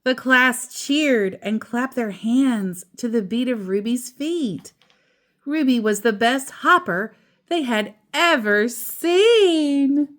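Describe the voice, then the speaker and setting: A woman reading a children's picture book aloud as storyteller, expressive and deliberate, with casual asides. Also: a little high.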